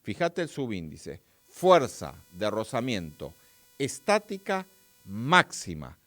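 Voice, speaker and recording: Lively Argentine man teaching physics. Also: lowish voice, slow pace, no echo. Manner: chatty, talks straight to viewers and reads their chat. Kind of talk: live-streamed physics lesson.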